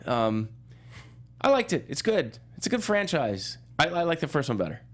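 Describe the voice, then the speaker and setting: A man's voice: a little high, big pitch swings, normal speed. Man chatting casually about films. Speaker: film journalist on a movie news talk show. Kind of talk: casual film review chat.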